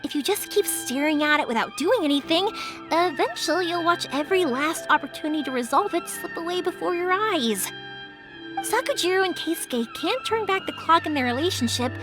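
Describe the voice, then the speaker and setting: A woman's voice: very high-pitched, fairly quick. A woman voicing an upbeat, talkative, perceptive young fireworks maker in a video game. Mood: confident, earnest. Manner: lively, encouraging, talkative. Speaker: video game character actor. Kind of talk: animated anime-style game acting. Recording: music behind the voice.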